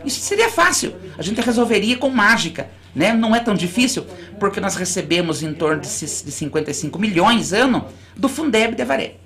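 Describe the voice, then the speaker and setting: Earnest school director with restarts. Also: female voice, mid-range voice, big pitch swings, brisk, few pauses, a bit bright. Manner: earnest, speaks in long explanatory runs with frequent restarts. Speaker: school director, interview guest. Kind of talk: radio interview. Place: radio studio.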